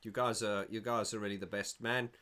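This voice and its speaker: A man's voice: lowish voice, little pitch movement, fairly quick, sharp tone. A middle-aged man from South Africa hosting a casual livestream, reading viewer comments aloud and rambling with frequent restarts. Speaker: livestream host.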